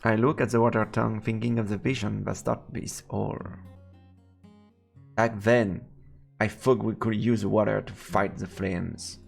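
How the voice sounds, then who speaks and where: Gravelly French-accented man streaming a game. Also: lowish voice, level tone, measured pace, faint music behind. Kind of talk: live let's play, reading game text aloud and commenting.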